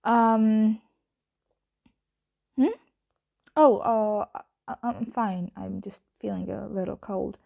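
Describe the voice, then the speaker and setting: A woman playing a tired, secretive vampire roommate in an ASMR roleplay, speaking softly with hesitant, evasive stammers. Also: mid-range voice, fairly even intonation, takes it slowly, gravelly, long pauses, phone-quality sound, exaggerated voice. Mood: flustered, nervous. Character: flustered young female vampire roommate, tired, hungry, secretive. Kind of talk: ASMR roleplay, soft conversational acting.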